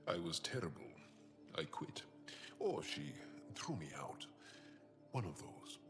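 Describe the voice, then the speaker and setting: A guarded but earnest alien warrior from a video game. His voice is low and swings expressively as he searches for words, set in a long reverb. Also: big pitch swings, gravelly, over music, heavily acted. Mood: reserved, wry. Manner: halting, deliberate, searching for words. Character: guarded, earnest male alien freedom fighter and tinkerer.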